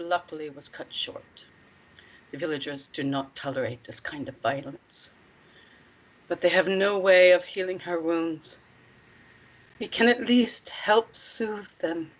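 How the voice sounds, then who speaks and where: A woman's voice: fairly low pitch, rough recording. A woman playing a stern, vengeful, commanding woman who protects wronged children, in a screenplay table read.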